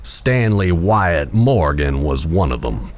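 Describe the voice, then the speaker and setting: An actor playing a smooth, scheming town boss in a western audio drama, measured, self-assured and insinuating. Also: male voice, deep voice, sing-song, full character voice. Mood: smug, insinuating.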